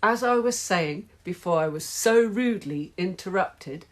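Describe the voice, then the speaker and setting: A woman's voice: mid-range voice, expressive, measured pace, close to an everyday voice. A woman reading a children's story, voicing a strict, stern female schoolteacher who speaks in a clipped, scolding way.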